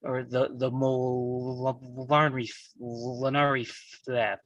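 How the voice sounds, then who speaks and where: A man playing a calm, controlled young mafia boss in a script read, halting and stuttering heavily on consonants. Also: medium pitch, no echo, highs cut off, lightly acted. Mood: cold, firm. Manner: halting, deliberate. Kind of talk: live table read of a crime film script.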